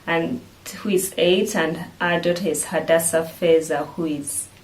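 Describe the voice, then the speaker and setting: A woman's voice: lowish voice, steady tone, a little slow, rough recording. A woman in her thirties with a Ugandan accent, hosting a vlog in casual chat to the camera. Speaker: vlog host.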